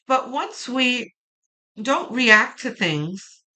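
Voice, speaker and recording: A woman with a low voice that turns gravelly when quiet, slowly and reassuringly reading tarot cards and thinking aloud. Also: sing-song. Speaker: tarot reader.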